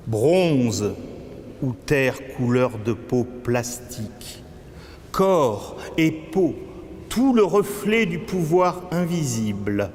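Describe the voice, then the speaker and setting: A man performing avant-garde spoken-word poetry in a declamatory way, swinging between low muttered phrases and high, drawn-out cries. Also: very animated, a little mellow. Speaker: poet performing own work. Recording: long cavernous reverb with background noise.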